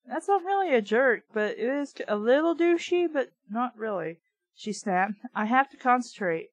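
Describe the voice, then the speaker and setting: A woman's voice: a little high, sing-song, normal speed, no echo. Blunt, sweary woman in a dry-sounding room. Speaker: reader and commentator of a children's book. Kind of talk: casual read-aloud with off-the-cuff commentary.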